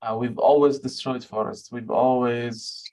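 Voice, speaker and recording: A man's voice: mid-range voice, steady tone, a little slow, darkish tone, low-quality recording. A man from Lebanon answering audience questions as a guest researcher, speaking frankly with frequent fillers and restarts.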